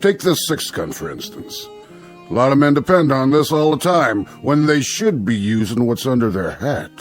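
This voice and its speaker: An actor playing a folksy, self-important Old West sheriff with an American Western drawl in an audio drama. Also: male voice, medium pitch, big pitch swings, normal speed, faint music behind, natural voice. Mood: self-satisfied. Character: folksy, slow-witted Old West sheriff, proud of his reasoning.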